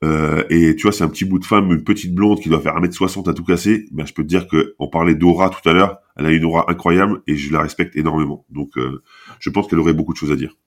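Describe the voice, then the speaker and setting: A man's voice: a bass voice, steady tone, fast. A talkative man with a head cold, chatting casually as a podcast guest, rambling and restarting his sentences. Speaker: podcast guest, basketball camp founder. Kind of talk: casual interview talk.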